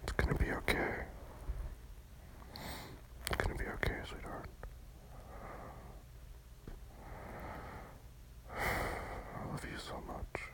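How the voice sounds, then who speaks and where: A person playing a tender, protective romantic partner in an intimate comfort roleplay, speaking in hushed, soothing, breathy murmurs. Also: unhurried, in a whisper, rough recording. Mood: soft, loving.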